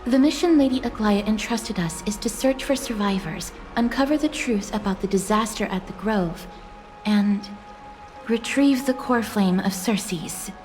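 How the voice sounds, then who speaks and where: A woman's voice: mid-range voice, measured pace, a little mellow, soft background music, natural voice. A woman playing a dutiful, tactful young fighter in a video game, speaking formally and earnestly. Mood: formal, frank. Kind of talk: dramatic video game acting.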